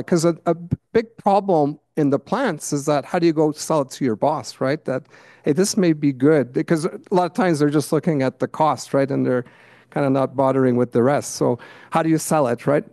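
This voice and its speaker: Guy presenting slides at a conference, voice going, keeps clearing his throat, bit high, dry sound. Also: darkish tone, dry recording. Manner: frequent throat clearing, "right?" tags. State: losing his voice. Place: conference meeting room.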